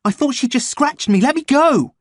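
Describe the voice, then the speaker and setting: Desperate teen boy, high and strained, voiced by a man narrator; dry studio sound. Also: animated, moderate pace, darkish tone, husky, dry recording. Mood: panicked. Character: frightened, defiant teenage boy.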